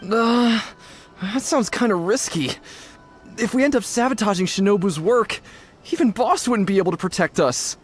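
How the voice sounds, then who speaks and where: A man's voice: high voice, normal speed, faint music behind, close to an everyday voice. A man voicing a cautious young gang underling in a video game, with a wary, reasoning manner. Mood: wary, scheming.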